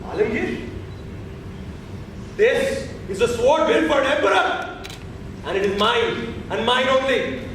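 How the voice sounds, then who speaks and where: A man's voice: very high voice, very animated, slow, pauses often, fairly bright tone, exaggerated voice. A man acting the part of an aged deposed emperor on stage, proud, bitter and indignant, with an imperious theatrical delivery. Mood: outraged. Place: theatre stage, recorded from far off. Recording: distant, echoey, noisy stage recording.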